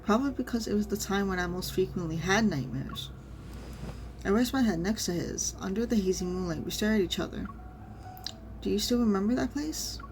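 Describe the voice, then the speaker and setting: A woman streamer reading a game story aloud and reacting casually, in an expressive manner. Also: mid-range voice, a little fast, a little hiss or hum, low-quality recording.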